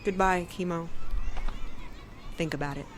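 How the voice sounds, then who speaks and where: A woman playing a cool, shrewd former public defender in an audio drama, speaking in a deliberate, wry, persuasive way. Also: on the low side, fairly even intonation, slight background noise, lightly acted. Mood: earnest.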